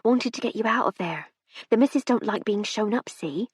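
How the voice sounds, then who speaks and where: A woman's voice: medium pitch, animated, moderate pace, slightly rough, dry recording, clean studio sound. A woman doing a gossipy, plain-spoken housemaid. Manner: colloquial working-class speech.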